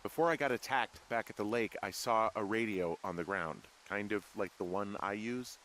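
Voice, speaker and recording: A man's voice: fairly low pitch, normal speed, a bit bright, slight background noise, lightly acted, rough recording. An actor playing a sarcastic, suspicious everyman fire lookout in a video game, with an American accent and a plainspoken, naturalistic delivery. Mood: wary. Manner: sardonic, plainspoken.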